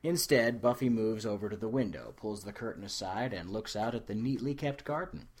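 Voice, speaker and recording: A man's voice: fairly low pitch, brisk, husky. A man reading fiction aloud as a dramatic, scripted narration. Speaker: story reader.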